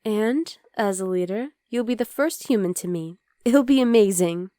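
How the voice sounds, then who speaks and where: A woman playing an affectionate, playful young demon girlfriend in an intimate ASMR roleplay, speaking softly to the listener. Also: mid-range voice, normal speed, no echo, clean studio sound, lightly acted. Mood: touched, earnest.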